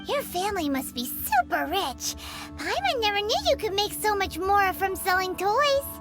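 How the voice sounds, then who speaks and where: A woman's voice: extremely high pitch, sing-song, normal speed. A woman voicing a tiny, cheeky fairy-like girl companion in a game, chirpy and teasing. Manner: chirpy, teasing, talks of herself by name. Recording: game cutscene with loud music.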